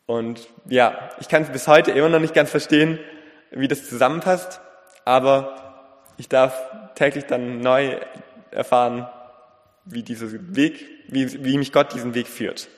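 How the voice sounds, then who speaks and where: A man delivering a sermon in an earnest, deliberate way, in short phrases with pauses and a slight lisp. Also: mid-range voice, fairly even intonation. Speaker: preacher. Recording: long reverberant hall.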